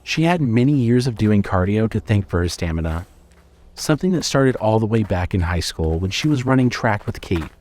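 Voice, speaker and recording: A man's voice: lowish voice, animated, normal speed, a little mellow. A man narrating a scripted horror story as the narrator of an audio drama.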